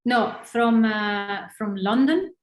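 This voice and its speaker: A woman's voice: on the high side, slow. A woman in her forties from northern Italy with an Italian accent, a former ballerina answering interview questions in a hesitant, restarting way. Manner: hesitant, many fillers and restarts. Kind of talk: casual interview conversation. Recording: roomy echo.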